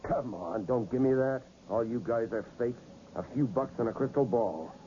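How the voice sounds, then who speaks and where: An actor playing a tough, skeptical police detective who questions curtly and bullyingly, in a 1980s radio drama style. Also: male voice, mid-range voice, normal speed, warm tone, close to an everyday voice. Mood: hard, accusing. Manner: curt, bullying interrogation. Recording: dull, noisy old radio broadcast recording.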